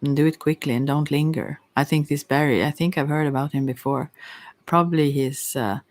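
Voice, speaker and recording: A woman's voice: low voice, steady tone, fairly quick, darkish tone, dry recording. Hesitant woman giving a close, dry ASMR reading. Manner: hesitant, stammering, often trailing off and rephrasing. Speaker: tarot reader. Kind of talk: intimate ASMR tarot reading, casual and rambling.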